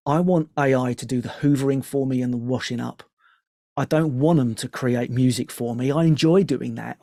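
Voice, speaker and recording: A man's voice: mid-range voice, dark tone, no echo. A man speaking as an interview guest, casually explaining things from a music studio and voicing imagined quotes.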